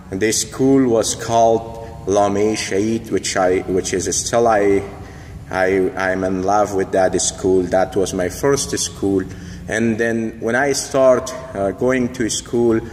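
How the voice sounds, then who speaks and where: A man's voice: on the low side, darkish tone. A man from Afghanistan, a boxing coach, telling his life story to a phone camera in a large indoor gym, hesitant with many fillers and phrasing. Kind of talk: casual personal storytelling to camera. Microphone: phone held in mirror selfie. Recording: long gym reverb, faint background hum.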